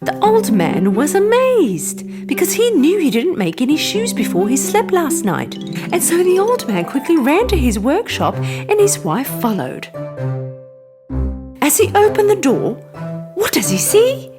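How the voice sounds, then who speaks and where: A woman reading a scripted bedtime story for children in an expressive, animated storytelling manner. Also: high-pitched, measured pace. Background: music.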